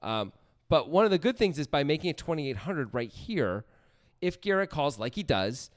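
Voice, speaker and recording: A man's voice: on the high side, big pitch swings, moderate pace. A man co-hosting a discussion show, arguing his points in an informal, emphatic conversational way. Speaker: poker podcast co-host analysing hands. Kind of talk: conversational commentary and analysis.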